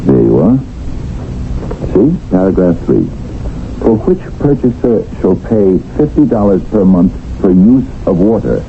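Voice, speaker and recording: A crafty businessman speaks low with formal, condescending politeness. His delivery is very animated and smug. The vintage radio drama sound is narrow and phone-like, with faint hiss. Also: unhurried, full character voice. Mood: smug, polite. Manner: smooth formal phrasing, condescending. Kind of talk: 1970s radio drama acting.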